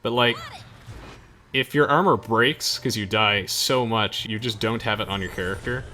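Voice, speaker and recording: A man's voice: on the low side, moderate pace, bright, forceful tone, slight background noise. A young man giving casual, chatty gameplay commentary, explaining things in informal slang as he hosts a let's play. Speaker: gamer hosting a let's play. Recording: game music behind the voice. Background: video game music.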